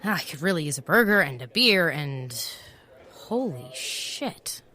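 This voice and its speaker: A wry, world-weary woman records her travel journal. Her voice sits a little low with a bright, forward edge, and she reflects in short fragments. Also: sing-song, unhurried, heavily acted. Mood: self-mocking, tired. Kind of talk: first-person audio drama narration.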